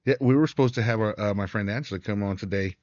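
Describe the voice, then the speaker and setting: Podcast co-host, man, low voice, leads the chat; dull and dry recording. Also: fast talker, darkish tone, no room sound. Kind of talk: casual chat.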